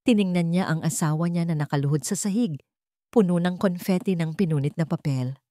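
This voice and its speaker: A woman's voice: on the low side, a little fast, mellow, dry recording, clean studio sound. A woman narrating a scripted audiobook drama with dramatic delivery.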